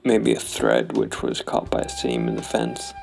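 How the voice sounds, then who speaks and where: A man narrating his solo tabletop role-playing game, thinking aloud in a deliberate, analytical way. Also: rumbling low, big pitch swings, vocal fry, a little mellow, faint music behind.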